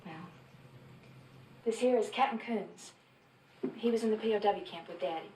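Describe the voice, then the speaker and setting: An actress playing a gentle mother talking to her small son in a film drama. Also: medium pitch, moderate pace, natural voice. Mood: gentle, coaxing. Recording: echoey room, distant mic, noisy soundtrack.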